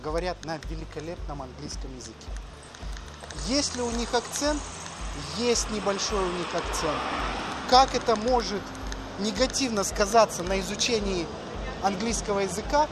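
A man's voice: sits high, animated, moderate pace, background noise. A middle-aged man talking casually as the host of a walking vlog, recorded outdoors on city streets. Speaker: education agency host. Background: music, street ambience.

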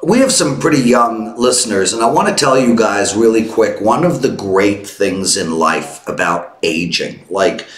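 A man in his fifties hosting a casual sports betting chat on a boom mic, joking and teasing his co-hosts. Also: animated, moderate pace, few pauses, roomy sound. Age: 50s. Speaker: host. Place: home studio. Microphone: headphones and boom mic.

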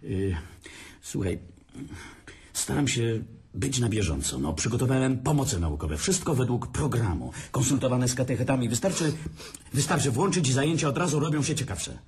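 A man's voice: medium pitch, very animated, moderate pace, a little mellow, breathy edge. An actor playing a cheeky hard-drinking man who jokes and schemes with his brother, in a playful, teasing manner. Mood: mischievous. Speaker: brother, Adam. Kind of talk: amateur radio drama acting.

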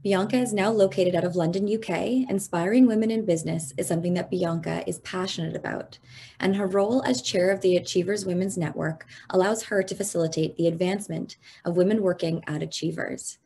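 Woman, conference host, measured intro reading, low voice, faint background hum. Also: fairly even intonation, gravelly, mellow. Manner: measured, slowing on list items.

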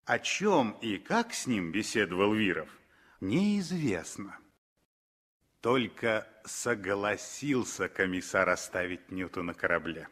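A man narrating a 1960s radio drama as an expressive storyteller from a script. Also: on the low side, slow pace.

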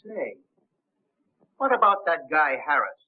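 High, husky 1930s movie henchman. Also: big pitch swings, takes it slowly, narrow, tinny audio, exaggerated voice. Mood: puzzled. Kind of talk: 1930s film acting. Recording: thin, band-limited 1930s film soundtrack.

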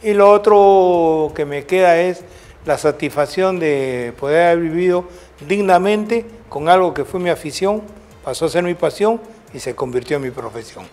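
A man's voice: fairly high pitch, moderate pace, darkish tone, soft background music. An older man with a Peruvian Spanish accent, a former football coach telling rambling anecdotes in an interview, often ending with a questioning "right?". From Peru. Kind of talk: storytelling interview.

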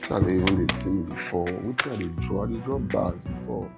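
Blustering Nigerian kidnapper. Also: male voice, deep voice, brisk, vocal fry, over loud music. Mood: grumbling, weary. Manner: blustering, repetitive, scolding. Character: volatile male kidnapper, a frustrated, boastful aspiring musician. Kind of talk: film acting, speaking Nigerian Pidgin.

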